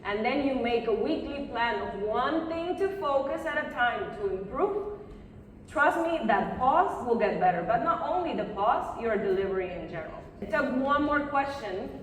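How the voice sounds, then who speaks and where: Woman conference speaker, encouraging and direct, raised pitch, heard through heavy room echo. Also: animated, normal speed. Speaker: public speaking coach giving a conference talk. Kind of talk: live talk with audience questions, coaching advice. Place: conference session room before a live audience. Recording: very echoey hall, far microphone, faint noise.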